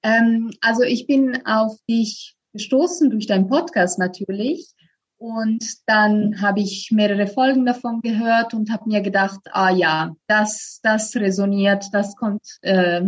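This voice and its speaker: A woman's voice: mid-range voice, a little slow. Dull-sounding recording of a woman guest. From Italy. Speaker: podcast guest, voice trainer. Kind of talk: reflective conversational interview.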